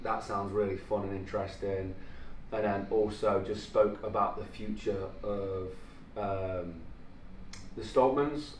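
A man's voice: lowish voice, fairly even intonation, a little slow. Chatty young man in a roomy space. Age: 20s. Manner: chatty, upbeat, loose with fillers. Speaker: vlogger and filmmaker. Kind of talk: casual vlog chat. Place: studio office and lounge indoors. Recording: roomy, distant, faint noise.

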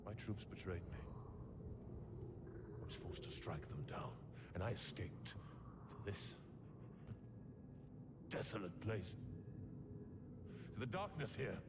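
Slow, sinister fallen Jedi hermit. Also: male voice, lowish voice, expressive. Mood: grave, confiding. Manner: theatrical, deliberate, taunting. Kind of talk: dramatic video game acting. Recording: loud ambient game noise.

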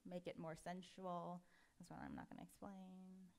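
A woman with a low voice and a gravelly edge speaks at a lectern in a dark auditorium. She talks in a relaxed, chatty way, with frequent ums as she explains her design work. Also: moderate pace. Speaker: conference speaker. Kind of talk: design conference talk.

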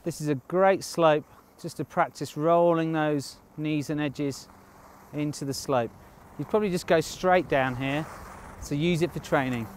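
A man's voice: on the high side, steady tone, measured pace, slight background noise. A man in his thirties giving a ski lesson outdoors on a snowy slope, explaining in a plain, upbeat way with light jokes. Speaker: ski instructor.

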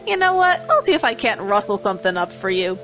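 A woman's voice: medium pitch, very animated, fast talker, fairly bright tone. Brash young athletic pony mare , played by a woman: cocky, casual, kind underneath, cartoon storybook delivery over music. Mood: friendly, amused.

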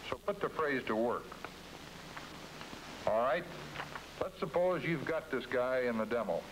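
A man's voice: medium pitch, a bit bright, poor audio. A middle-aged American man coaching salesmen in a scripted, folksy 1950s training-film narration style. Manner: folksy, direct second-person coaching. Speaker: company executive presenting a dealer training film. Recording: hissy 1950s film soundtrack.

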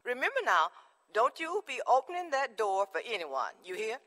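A woman's voice: on the high side, very animated, moderate pace, a bit bright, big-room sound. An actress playing a firm, caring, protective mother in a radio drama.

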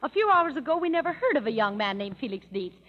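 A woman's voice: sits high, sing-song, quick pace, a little hiss or hum. An actress playing an eager, chatty young woman in a 1930s radio drama. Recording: dull radio with long reverb.

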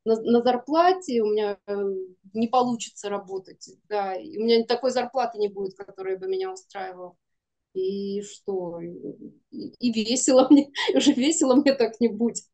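Higher-pitched, mellow woman in her forties. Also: sing-song, moderate pace. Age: 40s. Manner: candid, self-deprecating, laughs while talking. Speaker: guest, animation studio producer. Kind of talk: casual interview chat. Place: indoor room, video call. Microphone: video call.

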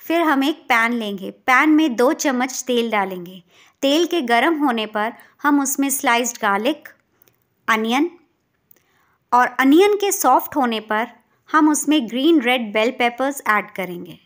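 A woman's voice: a little high, lively intonation, measured pace, a bit bright. A woman from India hosting a cooking channel, narrating a recipe step by step in an instructional way.

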